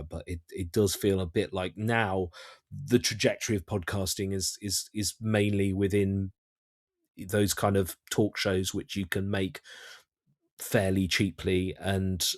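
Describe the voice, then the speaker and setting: A British man giving conversational interview answers as a guest writer-director, often halting and repeating words as he thinks. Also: deep voice, dry recording, studio quality. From United Kingdom.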